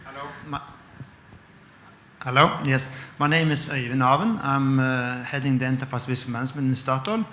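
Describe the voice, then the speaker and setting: Man, Norwegian accent, halting, word-searching, echoey room. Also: mid-range voice, fairly even intonation, pushed and bright, a little hiss or hum. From Norway. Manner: halting, searching for words, frequent uhs. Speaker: head of enterprise risk management, panelist. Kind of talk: conference panel self-introduction.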